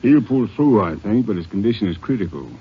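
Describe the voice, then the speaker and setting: An actor playing a grave, sympathetic hospital doctor in a 1950s radio drama, subdued and terse. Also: male voice, on the low side, animated, a little fast, natural voice. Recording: dull old radio recording, faint noise.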